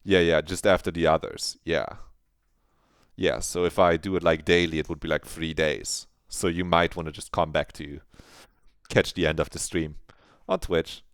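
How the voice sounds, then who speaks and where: A man's voice: very deep voice, flat delivery, moderate pace, no room sound, studio quality. A man streaming a visual novel, chatting casually and swearing now and then. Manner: laid-back, swears casually.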